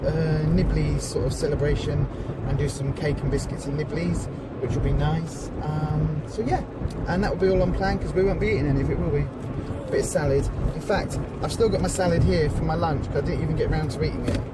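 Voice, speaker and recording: A woman's voice: low voice, brisk, few pauses. A woman in her forties chatting casually to camera as a passenger in a moving car, rambling and self-mocking with lots of fillers. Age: 40s. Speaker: vlogger, charity organiser. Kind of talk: casual vlog chat. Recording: loud car road noise. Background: engine and road noise.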